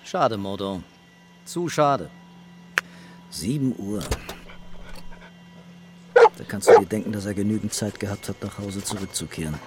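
An actor playing an insistent police commissioner giving orders in a radio drama, formal and firm. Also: male voice, fairly low pitch, lively intonation, unhurried, long pauses. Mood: reproachful. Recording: clear traffic and outdoor background noise.

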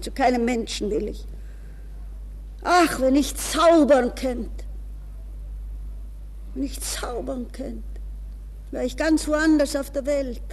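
A woman's voice: high voice, a little mellow, long reverb tail, close to an everyday voice. An actress playing a desperate, dreamy young woman from a good Viennese family in a theatrical interior monologue for a German radio play. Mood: defiant, agitated, then dreamy, longing.

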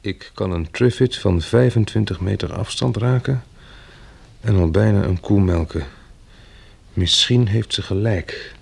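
Bill, gravelly weary survivor. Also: male voice, lowish voice, measured pace, heavily acted. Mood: pensive. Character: Bill, weary practical male survivor turned farmer. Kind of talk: radio drama acting with diary narration.

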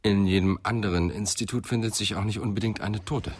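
Deep-voiced, calm police inspector played by a man. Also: brisk, noisy background, natural voice. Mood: probing, dry. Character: calm, probing male police inspector on a murder case. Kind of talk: German radio crime drama acting.

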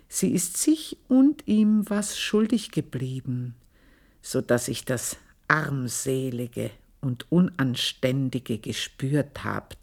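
A woman's voice: low-pitched, a little mellow, heavily acted. An actress playing an older housemaid who tells her own story in a radio play, speaking in an intense, confiding and scornful way. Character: aging housemaid, bitter, proud, long in service.

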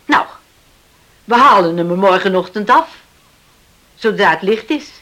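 A woman's voice: mid-range voice, expressive, unhurried, sharp tone. A woman playing a resolute farmer in a Dutch radio play, earnest and coaxing as a worried parent.

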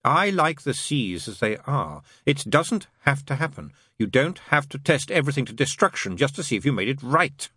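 Storybook demon, male, read by a male narrator: glum, sardonic persuader, husky, colloquial and needling. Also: mid-range voice, sing-song, normal speed, hardly any pauses, bright, forceful tone, no room sound, clean studio sound, pitched above the performer's own voice, heavily acted. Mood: pleading. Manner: colloquial, needling, emphatic lists.

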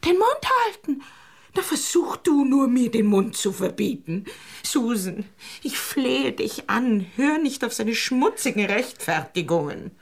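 An actress playing a sharp-tongued, melodramatic woman friend with biting sarcasm in a German radio drama. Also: on the high side, very animated, unhurried, gravelly, full character voice. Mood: indignant.

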